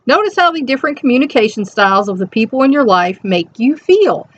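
A middle-aged woman speaks in a fairly high, soft voice. She shares reflections in a casual, conversational way, wandering into asides. The sound is slightly dull and lo-fi, as from a webcam in a small room at home. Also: sing-song, brisk. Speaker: host reading and commenting on an inspirational reflection. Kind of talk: casual reflective chat to camera with read-out passages.

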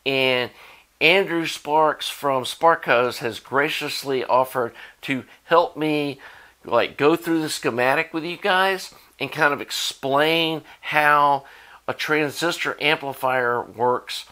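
A man's voice: fairly high pitch, takes it slowly, bright, forceful tone. A middle-aged man with an American accent, explaining things in a casual, conversational, informal way to camera as host of a repair channel. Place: indoor workshop at a workbench.

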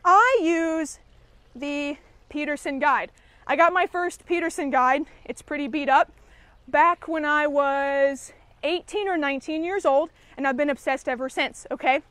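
A young woman teaches birding basics in a friendly, practical way, speaking straight to the camera. Her voice sits high and bright. It is close and dry, picked up on a clip-on mic outdoors. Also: a little slow, no echo. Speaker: conservation educator. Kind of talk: outdoor how-to lesson. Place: garden yard outdoors.

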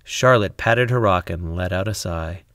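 A man reading a children's story aloud, expressively and deliberately with dramatic pauses. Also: deep voice, a bit bright, no room sound, studio quality. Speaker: storybook reader. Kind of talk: scripted children's story narration.

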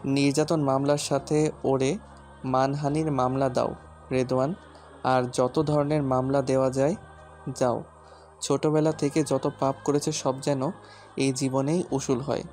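A man acts a stubborn, protective husband in an audio drama. His mid-pitched voice is hard and commanding, staying on an even, narrow line. Soft music plays underneath. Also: a little slow, close to an everyday voice. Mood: furious, threatening. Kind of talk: dramatised audio story acting.

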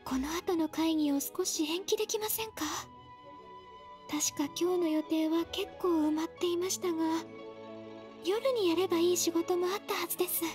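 A gentle young secretary, polite and soft-spoken. Her voice is very high and light, with faint game music behind. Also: moderate pace. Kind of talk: Japanese game dub.

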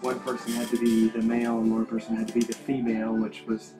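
A man's voice: mid-range voice, monotone, fast, over music. Frank man in a TV confessional. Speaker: reality show contestant. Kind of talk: confessional interview.

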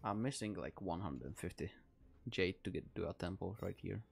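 A man's voice: low-pitched, expressive, normal speed, vocal fry, slightly rough. A man from the Czech Republic with a Czech accent, giving casual live game commentary and thinking aloud as he plays. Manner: rambling, thinking aloud while playing. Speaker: game streamer. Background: game music.

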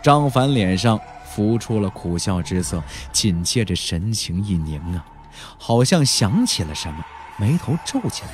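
A man narrating a fantasy novel as a dramatic audiobook storyteller, theatrical, with drawn-out emphasis and colloquial touches. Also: lowish voice, darkish tone, dry recording.

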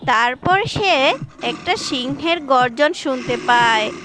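A woman with a high-pitched voice narrates a children's tale. She reads slowly from a script, with faint music behind her. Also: lively intonation. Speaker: storyteller.

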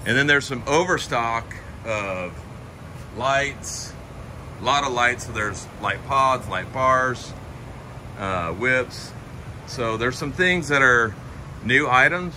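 A man's voice: mid-range voice, slow pace. A man hosting a casual walkthrough of a parts warehouse and workshop, chatting eagerly as he points things out while filming. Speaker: host touring a shop. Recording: noisy shop recording.

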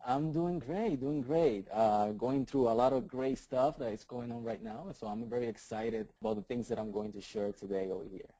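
A man's voice: mid-range voice, moderate pace, few pauses, warm tone, no room sound, low-quality recording. A man in his thirties with a Puerto Rican accent, a guest talking eagerly in an interview, often repeating words and asking "right?". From Puerto Rico. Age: 30s. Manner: enthusiastic, repeats words, many "right?" tags. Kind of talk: casual interview talk.

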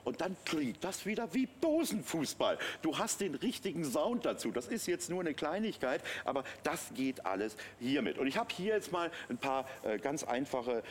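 A man's voice: a little high, sing-song, moderate pace, hardly any pauses, a little hiss or hum. A middle-aged man giving a live sales demonstration on a shopping channel, explaining in a conversational way. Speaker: shopping channel product presenter. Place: TV studio.

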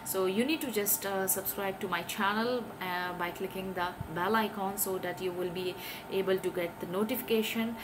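A woman's voice: medium pitch, fairly even intonation, normal speed. Woman, earnest, over room hiss. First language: Urdu. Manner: earnest, hesitant, many filler sounds, gestures with hands. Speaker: English teaching vlogger. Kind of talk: talking to camera, giving tips. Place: small indoor room.

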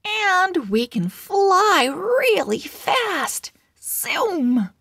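Woman as a very slow, cheerful little girl owl. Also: very high-pitched, gravelly, no room sound, clean studio sound, voice pushed up, full character voice. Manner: deliberate, animated, emphatic. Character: cheerful, chatty young girl owl writing her diary.

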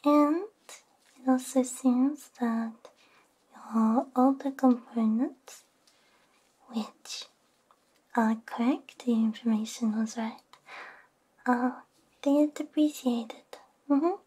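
A woman doing an ASMR roleplay as a repair technician, murmuring softly with short hums, very close on a binaural microphone. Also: on the high side, fairly even intonation, takes it slowly, pauses often, breathy edge. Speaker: ASMR host. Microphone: close binaural ear microphone.

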